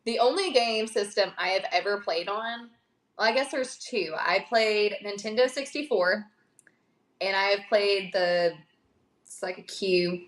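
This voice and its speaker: A woman's voice: medium pitch, a little slow, poor audio. A woman chatting casually on a livestream, blunt and emphatic as she tells stories. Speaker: livestream guest. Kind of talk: casual chat.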